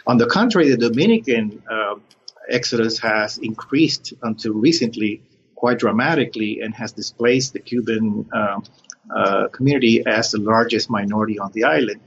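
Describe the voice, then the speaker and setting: A middle-aged man from Cuba and Puerto Rico, an academic guest explaining his research in measured, halting phrases with frequent pauses. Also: lowish voice. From born in Cuba, raised in Puerto Rico. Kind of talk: explanatory interview talk. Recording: dull lo-fi sound with background noise.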